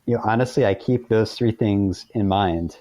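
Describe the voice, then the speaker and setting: Male author for interview segment: low, soft dark tone, dry close sound, informal and self-deprecating. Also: normal speed, no echo. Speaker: author, podcast guest. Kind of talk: conversational interview answers.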